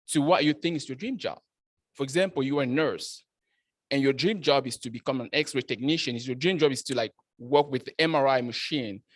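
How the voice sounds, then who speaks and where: A man's voice: on the high side, no room sound. Dry-sounding man giving a speech. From Cameroon. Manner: repeats words and restarts, lively rising emphasis. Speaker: club speaker giving a first speech. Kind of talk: prepared speech with audience questions.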